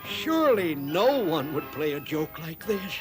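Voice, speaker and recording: High-voiced greedy cartoon villain-fool, theatrical and unhurried, swooping and gravelly, music underneath. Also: male voice, sing-song. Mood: self-satisfied. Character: greedy, gloating wolf and foolish greedy farmer. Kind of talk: theatrical cartoon fable acting.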